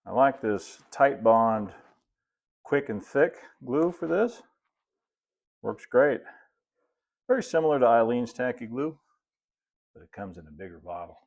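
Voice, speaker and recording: A middle-aged American man giving a casual hands-on tutorial, unhurried and folksy, thinking aloud as he works. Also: medium pitch, lively intonation, creaky, a little mellow. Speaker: host, model railroad builder. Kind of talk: casual hands-on tutorial talk while working. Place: indoor hobby workshop at a cluttered workbench and layout.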